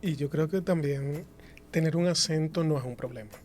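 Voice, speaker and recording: A Dominican man on a podcast panel, speaking deliberately and often restarting his phrases. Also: a little high, darkish tone. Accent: Dominican Spanish. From Dominican Republic. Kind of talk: casual discussion.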